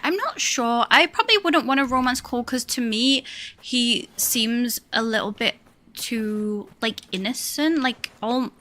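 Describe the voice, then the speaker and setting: A shy woman with a high voice chatting hesitantly while streaming a game, full of fillers and little laughs. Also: a bit bright. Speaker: live streamer. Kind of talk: casual chat while playing a game.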